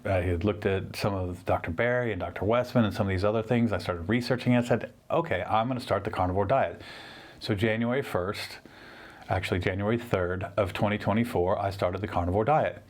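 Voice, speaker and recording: A man in his fifties, a surgeon hosting his own channel, giving an earnest, rambling personal update with frequent restarts. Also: on the low side. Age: 50s. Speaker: orthopedic surgeon and channel host. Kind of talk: casual personal update to camera.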